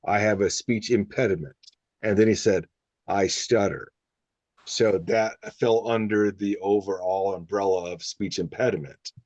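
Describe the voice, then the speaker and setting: A man who is a speech-language pathologist leading a casual group discussion, talking loosely with quick bursts and restarts. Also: lowish voice, fairly even intonation, a little mellow, no echo, highs cut off. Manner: conversational with many fillers and restarts, sometimes rapid.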